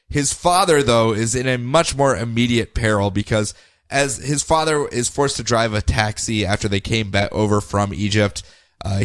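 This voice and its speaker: A man's voice: medium pitch, fairly bright tone, no echo. A man co-hosting a comic book podcast, recapping issues in a casual, jokey way and slipping into goofy character voices now and then. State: groggy from medicine. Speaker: podcast co-host.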